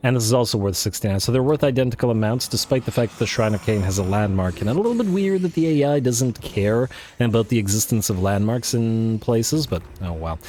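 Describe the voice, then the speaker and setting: A man thinking aloud while playing a strategy game, full of fillers and restarts, his voice worn and going hoarse. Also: mid-range voice, animated, brisk, few pauses, mellow, slight background noise. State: losing his voice. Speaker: let's play host. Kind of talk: casual strategy game commentary.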